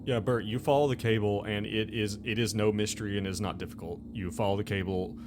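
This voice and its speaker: A man running a tabletop game, narrating off the cuff in a halting, conversational, explanatory way. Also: fairly low pitch, fairly even intonation. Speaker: game master. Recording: music behind the voice.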